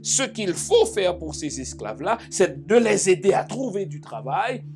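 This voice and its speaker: A man's voice: high-pitched, big pitch swings, a little slow, a little mellow. A man hosting a talk show, delivering an emphatic monologue full of rhetorical questions and asides. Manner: emphatic, rhetorical questions, frequent "n'est-ce pas". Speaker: host.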